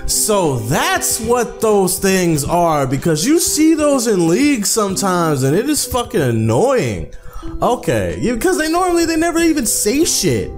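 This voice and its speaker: A man's voice: high voice, wildly swooping pitch, measured pace, faint music behind. A man hosting a gaming video, talking in casual slang with swearing and repeated phrases. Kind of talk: casual let's-play commentary and read-aloud.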